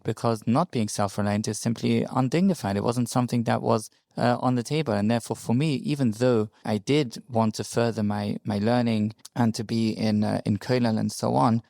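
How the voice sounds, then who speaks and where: A man's voice: medium pitch, creaky, a little mellow, dry recording, clean studio sound. A middle-aged man with a London accent, talking as an interview guest, thoughtful and searching for words. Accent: British (London). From England (London). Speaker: guest, rabbi and lawyer. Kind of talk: reflective interview talk.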